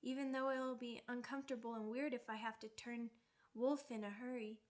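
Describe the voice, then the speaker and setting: A woman voicing an excitable, stubborn young werewolf pup in an ASMR roleplay, with childish, halting, repetitive speech. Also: on the high side, natural voice. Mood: excited, eager. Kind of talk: ASMR character roleplay audio drama.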